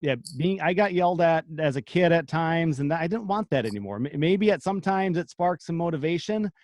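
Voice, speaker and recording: A man's voice: fairly high pitch, expressive, brisk, darkish tone. A man in his thirties hosting a podcast, asking thoughtful, reflective questions in conversation. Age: 30s. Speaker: podcast host. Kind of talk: conversational interview.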